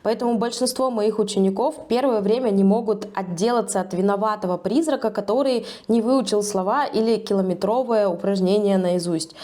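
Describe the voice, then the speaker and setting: A woman teacher talks the listener through a scripted lesson, measured and persuasive. Her voice is mellow and soft-edged, at an ordinary middle pitch. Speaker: English teacher. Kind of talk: scripted video lesson narration.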